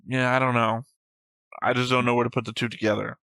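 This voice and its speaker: A man hosts a casual reaction discussion in a bright, forward voice. His pitch stays fairly level and his delivery is matter-of-fact. The sound is dry, with little room on it. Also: little pitch movement, quick pace, creaky, no echo. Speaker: reaction show host. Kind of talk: casual discussion of an animated series.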